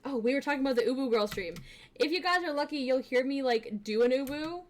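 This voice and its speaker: A young woman streamer chatting casually with her viewers, bubbly and giggly, with lots of fillers, switching between quiet asides and excited greetings. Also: fast. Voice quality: fairly deep voice for a woman. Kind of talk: casual live-stream chat.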